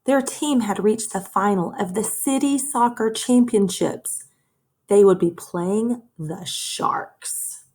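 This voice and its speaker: A woman reads a children's story aloud at an unhurried, slow pace. Her voice is a little husky and sits at an average pitch. She tells it expressively, like a teacher with young listeners. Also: a little mellow. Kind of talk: children's read-aloud narration.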